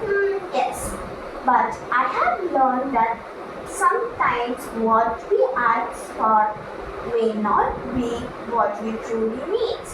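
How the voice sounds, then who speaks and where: A woman voicing an earnest, devout farmer as a puppet guest in a scripted show, with halting, deliberate phrasing. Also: on the high side, sing-song. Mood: reverent, earnest. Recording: very echoey room, distant mic, noisy.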